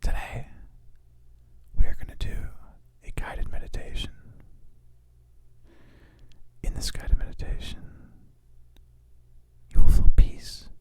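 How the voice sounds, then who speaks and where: A man putting on the voice of a soothing male meditation guide, in a slow, breathy whisper. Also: lightly acted.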